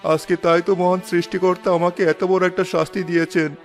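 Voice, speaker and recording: Guy voicing wealthy sick cartoon husband, solemn and humbled, slightly high pitch, music under it. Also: level tone, brisk. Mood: remorseful. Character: rich ailing repentant husband, former exploitative chairman. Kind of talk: Bengali cartoon moral-story acting. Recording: music behind the voice.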